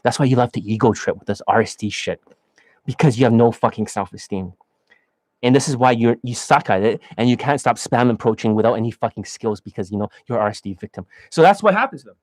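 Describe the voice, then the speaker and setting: A man lecturing as a dating coach in a blunt, profane rant, swinging between loud outbursts and low muttering. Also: fast talker. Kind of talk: ranting monologue lecture.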